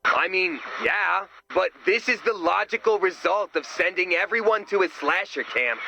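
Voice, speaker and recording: An actor playing an excitable, self-justifying goofy man in a video game, with a whiny, dramatic manner. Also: high voice, moderate pace, vocal fry, slight background noise, heavily acted. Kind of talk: scripted video game voice acting.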